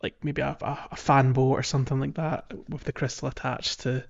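A man co-hosting a gaming podcast, chatting casually and haltingly as he gathers his thoughts. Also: medium pitch, flat delivery, gravelly, dull audio. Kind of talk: casual chat about a video game.

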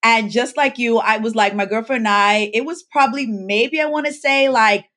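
Lively woman from the Bahamas. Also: on the high side, normal speed. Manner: lively storyteller, acts out quoted lines. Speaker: guest, pharmacist and life coach. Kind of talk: casual interview chat.

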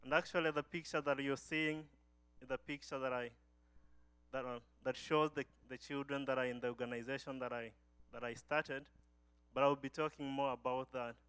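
A man's voice: mid-range voice, steady tone, moderate pace, fairly bright tone, smooth, dry recording. A young man from Uganda giving an earnest church testimony as a guest speaker, often restarting his phrases.

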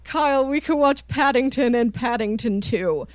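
A woman's voice: a little high, expressive, normal speed, a little mellow, no echo. Chatty woman podcaster, dry close sound. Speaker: podcast co-host. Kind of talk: casual chat, pitching films to co-host.

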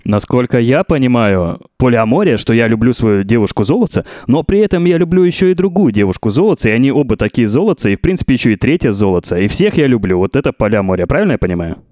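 A man's voice: mid-range voice, very animated, fast talker, hardly any pauses, mellow, dry recording, clean studio sound. A man in his thirties co-hosting a casual podcast, teasing and joking. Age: 30s. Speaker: podcast co-host. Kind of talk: casual comedic podcast chat.

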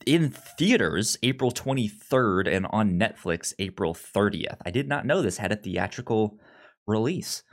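A man's voice: on the low side, expressive, measured pace. A man co-hosting a casual movie review podcast, speaking enthusiastically and often repeating words as he thinks. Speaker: podcast co-host.

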